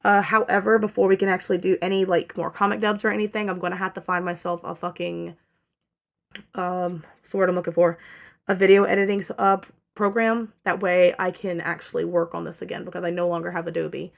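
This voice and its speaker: A young woman rambling through a casual channel update, full of fillers and self-corrections, her throat dry and strained and her energy low from fatigue. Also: level tone, brisk, gravelly. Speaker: channel owner giving an update.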